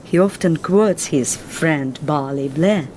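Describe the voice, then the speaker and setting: An actress with a Russian accent playing a guarded, earnest young Russian woman in a film drama who speaks in a formal, measured and reserved way. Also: low-pitched, a little mellow, slight background noise.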